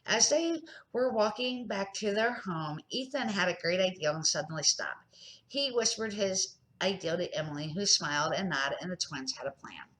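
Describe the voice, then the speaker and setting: A middle-aged or older woman narrating a children's story in a deliberate, homemade read-aloud style. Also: fairly low pitch, expressive, fairly bright tone, low-quality recording. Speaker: storybook reader and series host.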